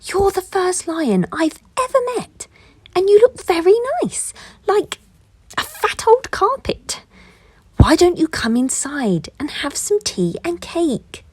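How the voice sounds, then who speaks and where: Woman voicing Lucy Goose, a high sing-song goose. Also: sing-song, takes it slowly, no room sound, exaggerated voice. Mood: friendly. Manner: bright, sing-song and kindly. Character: polite cheerful female goose, small and unflappable.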